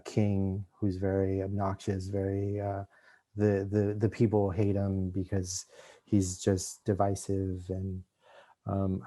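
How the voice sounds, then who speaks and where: A middle-aged man raised in Los Angeles giving an art lecture, halting with many restarts and fillers. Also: on the low side, dark tone. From Mexico, raised in Los Angeles. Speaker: visiting artist lecturer.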